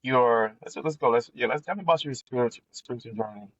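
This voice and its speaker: A man's voice: mid-range voice, fast talker, no echo. A man hosting a podcast, speaking casually with many hesitations and slang. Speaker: podcast host. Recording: dry, dull lo-fi sound.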